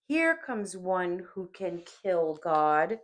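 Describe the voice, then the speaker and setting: A woman reader performs Sin as a proud, gloating villain of a dramatic audiobook. The delivery is slow and declamatory, taunting and loud, with each boast trumpeted. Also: lowish voice, sing-song, full character voice. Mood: taunting, exultant. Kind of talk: dramatic audiobook character reading.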